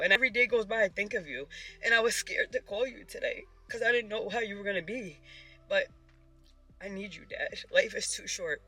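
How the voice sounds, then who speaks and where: Tearful woman with a very bright, tense voice. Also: mid-range voice. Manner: earnest, vulnerable. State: emotional, tearful. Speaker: personal vlogger. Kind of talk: emotional personal confession to viewers.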